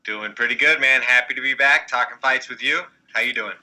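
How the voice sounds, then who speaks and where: A man's voice: mid-range voice, a little fast, pushed and bright, low-quality recording. A man co-hosting a sports podcast, giving opinionated analysis in an argumentative, explanatory way. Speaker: podcast co-host and fight analyst.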